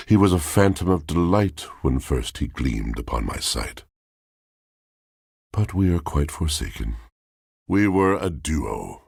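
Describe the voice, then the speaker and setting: An extremely deep-voiced, wounded romantic from a video game, speaking slowly in grandiose, florid verse. The voice is gravelly and husky, solemn and theatrical throughout. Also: male voice, dry recording, clean studio sound, full character voice. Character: brooding, lovelorn poetic washing machine, grandiose and wounded. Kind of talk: video game character acting.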